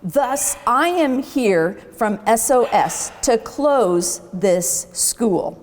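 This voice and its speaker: A woman's voice: fairly high pitch, lively intonation, very slow, in a large hall, slight background noise. A woman voicing an officious, blustering wild boar inspector in a children's storybook, declaiming with pompous emphasis. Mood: scornful, booming. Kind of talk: children's storybook read-aloud.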